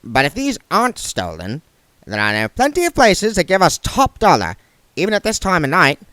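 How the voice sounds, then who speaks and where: A man's voice: high voice, wildly swooping pitch, moderate pace, sharp tone, dry recording, voice pushed up, full character voice. A man reading aloud and voicing a blunt, down-to-earth male dwarf who is keen on money. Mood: practical. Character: gruff, practical male dwarf, money-minded.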